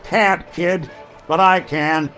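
An actor playing a world-weary, wry robot detective in an old-time radio noir style. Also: male voice, on the high side, steady tone, slow pace, bright, forceful tone, faint music behind. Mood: urgent. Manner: terse, wry, hardboiled.

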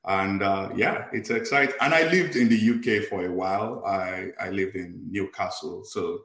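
Man, podcast host, low voice, echoey room, eager interviewer. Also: normal speed, a bit bright. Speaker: podcast host and life coach. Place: lives in Houston. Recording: roomy echo.

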